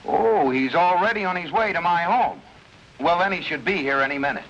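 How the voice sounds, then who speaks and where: An actor playing a plucky, wry amateur sleuth in an old-time radio drama. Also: male voice, sits high, a little fast, lo-fi sound. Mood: urgent. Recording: noisy, crackly old radio recording.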